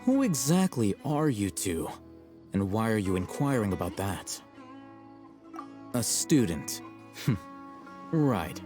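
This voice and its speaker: An actor playing an aloof, blunt young scholar in a video game, cool, articulate and condescending. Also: male voice, lowish voice, big pitch swings, slow, long pauses. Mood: guarded, dismissive. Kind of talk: English video game voice acting. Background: faint game background music.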